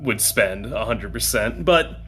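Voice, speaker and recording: A man's voice: on the low side, expressive, a little slow, fairly bright tone, slightly rough, faint music behind. A man chatting casually as a player in a tabletop game, describing what his character does.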